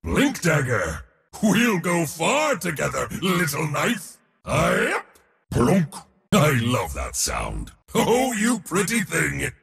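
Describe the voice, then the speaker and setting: An actor playing an arrogant, bombastic god of war in a video game, declaiming and gloating in a booming theatrical voice. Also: male voice, wildly swooping pitch, slow, vocal fry, a bit bright, in a large hall, full character voice. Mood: gloating delight. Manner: booming, declamatory, gloating, short battle cries.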